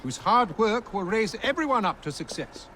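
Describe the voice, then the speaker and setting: An actor playing a haughty, menacing Victorian villain in a video game. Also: male voice, sits high, big pitch swings, moderate pace, bright, forceful tone, a little hiss or hum, heavily acted. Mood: pompous. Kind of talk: video game cutscene acting.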